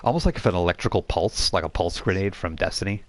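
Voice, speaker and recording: A man narrating a tabletop role-playing game as game master, describing scenes in a halting, improvised way. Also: low-pitched, dry recording.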